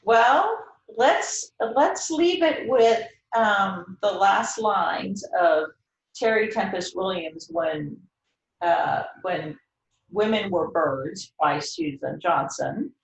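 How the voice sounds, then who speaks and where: A middle-aged woman talking reflectively and intimately about poetry, gently and contemplatively, with hesitant pauses. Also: mid-range voice, lively intonation, gravelly. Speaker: host reading and discussing poetry. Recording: roomy, slightly dull sound.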